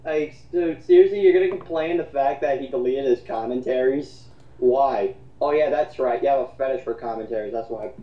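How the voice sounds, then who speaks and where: A man's voice: mid-range voice, fairly quick. A man giving casual mocking commentary in a blunt, sarcastic, foul-mouthed way. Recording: dull lo-fi sound in echoey room.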